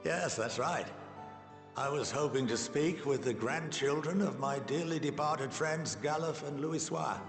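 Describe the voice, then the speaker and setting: An actor playing a genial, wry, avuncular elderly scholar in a video game cutscene, speaking with theatrical warmth. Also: male voice, fairly high pitch, unhurried. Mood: fond. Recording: reverberant hall, music behind. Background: game music.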